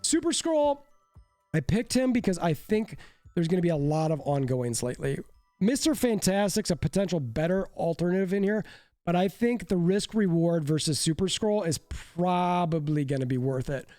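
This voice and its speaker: Stuffy-nosed guy chatting about his decks, kinda high voice, dry sound, bit of music in the back. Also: lively intonation, normal speed, dark tone, no room sound. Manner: chatty, self-deprecating, rambling. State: congested from a cold. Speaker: card game content creator. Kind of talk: casual deck breakdown commentary.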